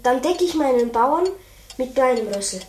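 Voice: sits high, expressive, normal speed. A performer playing a polite, self-assured eleven-year-old boy in a 1950s radio play, speaking in a plain, matter-of-fact way. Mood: modest, assured. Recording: roomy, noisy 1950s radio recording.

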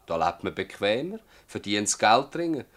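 An older village farmer in a radio play, with a fairly low voice and a Swiss German accent. He is stubborn and troubled, blunt in his words and plaintively insistent. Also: big pitch swings, moderate pace, fairly bright tone. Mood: bitter. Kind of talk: radio drama acting in dialect.

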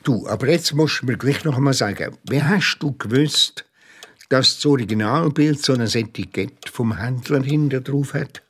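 An actor with a Swiss German accent playing a bumbling, forgetful, good-natured detective sidekick in a children's radio play. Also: male voice, mid-range voice, moderate pace, slightly rough, close to an everyday voice. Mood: amazed, puzzled.

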